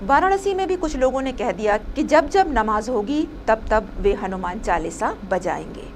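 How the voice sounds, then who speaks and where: A woman's voice: on the high side, expressive, moderate pace. A woman reading a scripted news report as a presenter, with some noise behind her.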